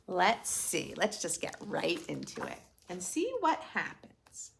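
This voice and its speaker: A woman reads a picture book aloud for preschoolers. Her delivery is lively and widely varied in pitch, with some creak in the voice. She moves between expressive storytelling and chatty questions to the children. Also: slow, slight background noise. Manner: expressive, questioning asides to young listeners. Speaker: children's story reader and host.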